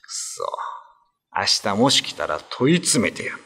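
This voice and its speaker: Man acting a middle-aged shopkeeper, animated pitch, gruff muttering, polite with customers, ghost-story radio drama. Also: moderate pace, slight background noise. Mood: indignant.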